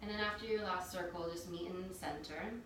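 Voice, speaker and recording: A woman teaching a yoga class, calmly guiding breath and movement step by step. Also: fairly low pitch, fairly quick. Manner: calm, gentle cueing of breath and movement. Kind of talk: guided yoga instruction. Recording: very echoey room, distant mic, faint noise.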